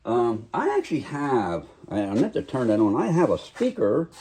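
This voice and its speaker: A man talking quietly and casually to camera while he works on a car, mumbling as he goes. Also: medium pitch, huge pitch swings, moderate pace, a little hiss or hum. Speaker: car restoration hobbyist. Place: home garage workshop.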